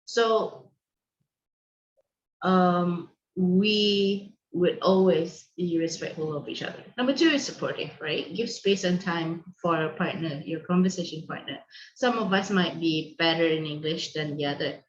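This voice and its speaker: A woman from Malaysia leads an online teachers' session, explaining the meeting rules in a friendly, instructive way with many ums and restarts. Her voice sits a little low. The call audio is dull and lo-fi, with a roomy echo. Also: measured pace. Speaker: online session host and co-founder. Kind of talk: hosting a video call meeting. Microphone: video call microphone.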